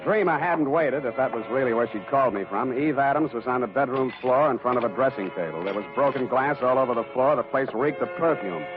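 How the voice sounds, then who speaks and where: An actor playing a hard-boiled, wisecracking private detective in terse, deadpan 1940s radio style. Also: male voice, mid-range voice, fairly quick, few pauses, natural voice. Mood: deadpan narration. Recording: dull 1940s radio recording, background music.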